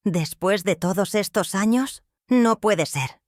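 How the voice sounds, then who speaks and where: A woman's voice: mid-range voice, animated, slow, dry recording, pitched above the performer's own voice. A woman voicing a grieving, secretive young mother who speaks in tearful whispers, recorded dry. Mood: fearful.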